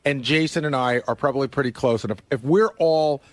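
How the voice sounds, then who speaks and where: A man's voice: mid-range voice, a little fast, dry recording. A man, a radio show producer, talking casually in a studio discussion.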